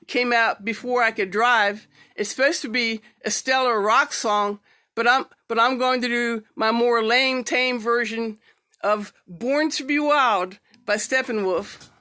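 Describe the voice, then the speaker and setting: A woman's voice: on the high side, pushed and bright, smooth, no room sound. A woman giving an informal amateur poetry recital, reading and introducing pieces in her own way. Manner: halting, repeats words and syllables when speaking off the cuff. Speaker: amateur poetry reader and singer.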